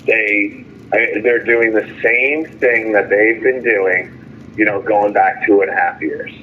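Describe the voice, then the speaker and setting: A man calling in by phone with a halting, aggrieved, sardonic account in casual speech, as a jailed caller giving an update. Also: on the low side, fairly even intonation. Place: jail phone call. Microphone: telephone. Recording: tinny noisy phone line.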